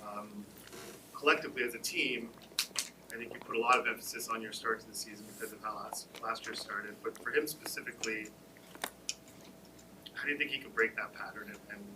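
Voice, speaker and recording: A male reporter asking a question casually, with soft, breathy stretches. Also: lowish voice, fairly even intonation, normal speed, recorded from a distance, noisy background.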